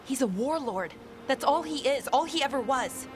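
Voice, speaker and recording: An actress playing a tender, anxious elven woman in a game cutscene, earnest and halting as she speaks about a brother. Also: high-pitched, lively intonation. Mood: guarded, urgent. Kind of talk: dramatic video game cutscene acting. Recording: loud background music.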